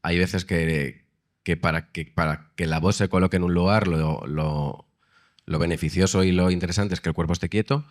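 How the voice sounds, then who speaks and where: A man's voice: low-pitched, fairly even intonation, moderate pace. A male actor speaking on a live panel, thoughtful and restarting with repeated words. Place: festival press event room.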